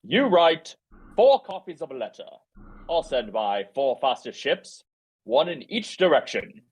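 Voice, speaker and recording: A man voicing a smooth, scheming prince in an amateur table read, in measured, formal phrases. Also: fairly high pitch, very animated, heavily acted, rough recording. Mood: smooth persuasion.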